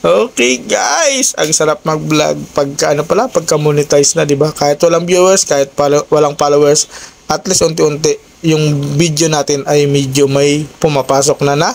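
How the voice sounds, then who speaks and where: A man with a slightly high voice talks casually as a motovlogger. He is chatty and keeps turning to his listeners with short tag questions, as if talking with friends. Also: moderate pace, gravelly. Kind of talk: casual vlog chat to viewers. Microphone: old cellphone, no intercom.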